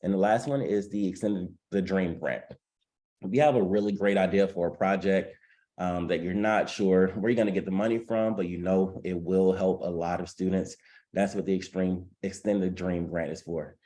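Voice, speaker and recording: Low-voiced congested man hosting a webinar. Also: moderate pace, dark tone. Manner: frequent ums, promotional. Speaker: webinar host. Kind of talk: announcements on a video call.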